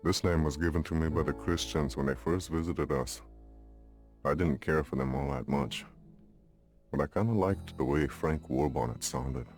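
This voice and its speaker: A solemn older Lakota uncle in a game cutscene. His voice is extremely deep, and he speaks with grave, deliberate dignity over prominent music. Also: darkish tone. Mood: wry, welcoming. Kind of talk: video game cutscene acting.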